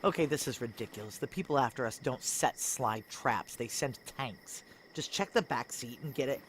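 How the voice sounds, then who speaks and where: A woman's voice: extremely low pitch, animated, normal speed, a little hiss or hum, rough recording. An actress playing a tough, desperate female security operative who narrates and speaks tersely in a noir sci-fi audio thriller. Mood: tense, wry. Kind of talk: first-person noir audio drama acting and narration.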